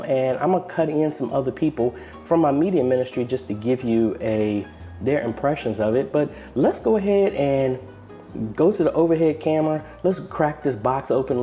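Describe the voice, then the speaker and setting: A man's voice: a little high, mellow. An American man hosting a casual unboxing review, talking in a conversational, unscripted way as he thinks aloud. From United States. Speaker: video host and church media ministry member. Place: apartment room, indoors.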